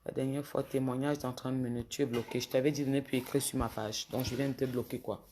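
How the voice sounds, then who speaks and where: A woman with a cold, a bit hoarse, talking casually to viewers on a phone livestream and scolding commenters with indignation. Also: extremely low pitch, fairly even intonation, fast talker, dark tone, a little hiss or hum. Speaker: social media livestreamer.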